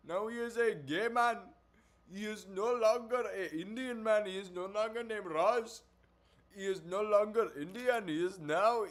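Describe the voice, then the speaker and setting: A man putting on a mock accent as a character called Raj, slow and loud. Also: high-pitched, pitched above the performer's own voice. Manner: exaggerated mocking put-on accent, slow and loud.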